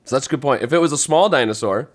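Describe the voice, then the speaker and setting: A man talks casually as part of a podcast panel. His voice sits at a middle pitch, and he speaks in an informal, conversational way with the others. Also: rapid-fire.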